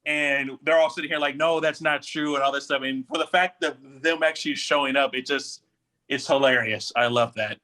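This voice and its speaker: Male co-host, bright forward voice, slightly high, informal slangy podcast discussion. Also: steady tone, brisk. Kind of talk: casual pop culture discussion.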